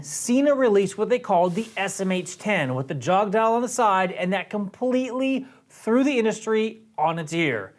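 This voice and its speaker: An American man in his thirties presenting a product overview to camera in a casual, explanatory way. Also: high-pitched. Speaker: product reviewer and presenter for a motorcycle gear shop. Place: indoor video studio set.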